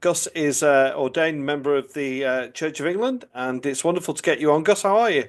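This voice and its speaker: A man's voice: a little high, a little fast. A man hosts a podcast and interviews a guest. He is a priest, and he keeps the conversation going with friendly, inviting questions. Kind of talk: interview hosting.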